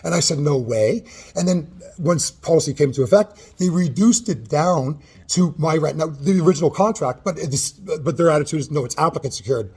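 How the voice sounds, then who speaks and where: A man in a heated in-person complaint at a city office, loud and agitated, talking over others and swearing, caught on a hand-held recording. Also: a little high, a little fast, breathy edge. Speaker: tenant. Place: city hall office, indoors.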